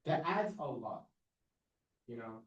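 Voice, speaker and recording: Young man lecturing in a roomy hall. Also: very animated. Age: young adult. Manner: casual, confident, deliberately lowered authoritative tone. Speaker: student club speaker. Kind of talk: informal talk on public speaking to a student club. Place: classroom or meeting room. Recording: roomy, distant mic, dull sound.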